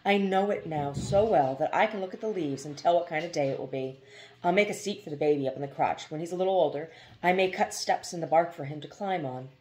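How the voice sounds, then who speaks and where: A woman voicing an earnest young rancher husband in a storybook, quiet and firm. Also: deep voice, fast, lightly acted. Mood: calm.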